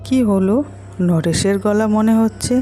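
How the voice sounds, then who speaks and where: A woman's voice: medium pitch, steady tone, measured pace, mellow, soft background music, natural voice. A woman playing a wronged but forgiving village mother in a Bengali cartoon drama, speaking in an earnest, plaintive way. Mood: puzzled.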